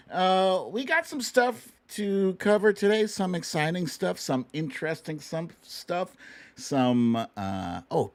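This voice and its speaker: Animated man hosting a casual livestream. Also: a little high. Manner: playful, exclamatory, sing-song with silly catchphrases. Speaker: livestream show host. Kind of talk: casual livestream chat, greeting viewers.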